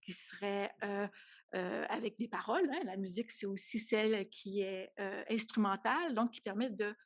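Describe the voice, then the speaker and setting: A woman's voice: mid-range voice, fairly even intonation, moderate pace, dry recording, studio quality. A woman speaking as a panelist in an academic round table, hesitant, with many fillers and restarts.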